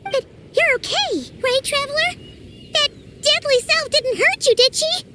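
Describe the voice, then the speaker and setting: A woman voicing a tiny, bubbly, excitable fairy companion girl with a squeaky childlike voice in a video game. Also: unhurried, heavy background noise. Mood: concerned. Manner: refers to self in third person, eager.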